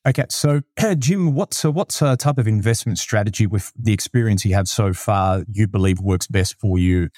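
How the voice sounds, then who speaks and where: A man's voice: on the low side, moderate pace, few pauses, darkish tone, dry recording, studio quality. A man hosting a podcast, asking hesitant questions with fillers. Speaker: podcast host. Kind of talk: interview questions.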